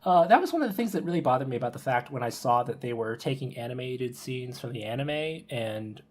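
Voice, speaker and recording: A man with a slightly husky, mid-pitched voice hosts a relaxed podcast conversation. He phrases things tentatively, feeling his way toward each point in a casual, chatty style. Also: a little fast. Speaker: podcast host.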